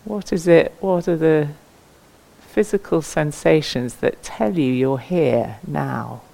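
Slow, creaky-voiced woman guiding meditation. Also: low voice. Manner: gentle, unhurried, with long pauses. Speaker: meditation teacher. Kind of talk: guided meditation.

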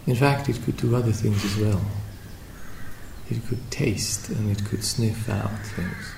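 A man leading a guided meditation as a teacher, speaking reflectively in short phrases with long pauses between them. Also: fairly low pitch, creaky. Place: indoor room at a meditation retreat. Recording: roomy echo with faint background noise.

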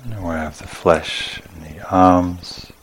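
A man teaching a guided meditation in a calm, measured manner, speaking in short phrases with long pauses. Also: low-pitched, a little hiss or hum. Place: meditation retreat.

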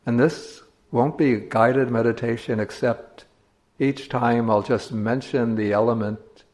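A male meditation teacher with a medium-pitched voice gives guided instruction. He speaks slowly and in measured fragments, pausing between phrases as he directs the listeners' attention. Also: steady tone, darkish tone.

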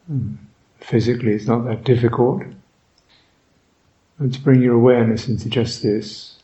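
A man guiding a meditation as a Buddhist teacher, speaking reflectively in short, halting phrases with long pauses. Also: mid-range voice, dark tone, muffled recording. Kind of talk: guided meditation, unscripted and reflective.

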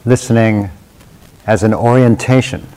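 Male meditation teacher, lowish voice, slow sparse guided phrasing with long pauses. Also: warm tone.

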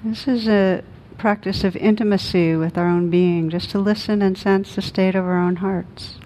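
A woman meditation teacher leading a guided meditation in a gentle, soothing manner with long pauses. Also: mid-range voice, fairly even intonation, slight background noise, rough recording.

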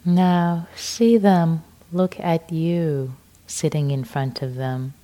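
Slow, soft-spoken woman guiding meditation. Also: low voice, steady tone. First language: Farsi. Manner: slow, soft and soothing, with long pauses. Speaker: meditation teacher. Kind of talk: guided meditation.

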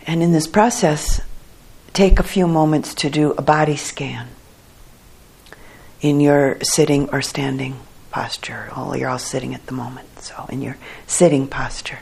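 A woman leading a guided meditation for a group as their teacher, speaking in a calm, measured way with long pauses. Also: rumbling low, creaky, husky.

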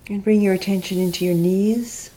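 A woman teacher leading a guided body scan meditation, speaking in gentle, reflective, unhurried instructions with long pauses. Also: mid-range voice, steady tone, poor audio.

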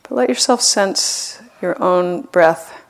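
Low, creaky-voiced woman guiding meditation. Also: rough recording. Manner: gentle, unhurried, with long pauses. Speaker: meditation teacher. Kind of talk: guided loving-kindness meditation.

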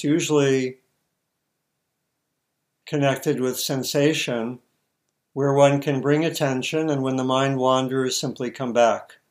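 A man teaching meditation, giving guided instructions in short, measured phrases with long pauses. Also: medium pitch.

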